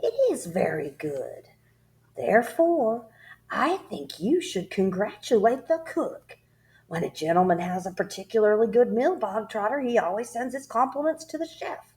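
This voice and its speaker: A woman voicing a tyrannical headmistress who barks insults and shouts at a pupil in a storybook reading. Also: a little high, lively intonation, normal speed, pitched above the performer's own voice. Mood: mock sweet. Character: bellowing tyrannical female headmistress, bullying.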